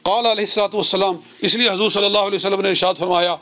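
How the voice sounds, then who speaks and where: High-pitched male cleric, deliberate and didactic. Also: fairly even intonation, bright, forceful tone. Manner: deliberate, in short measured phrases, didactic. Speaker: Islamic cleric. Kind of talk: religious lecture citing scripture.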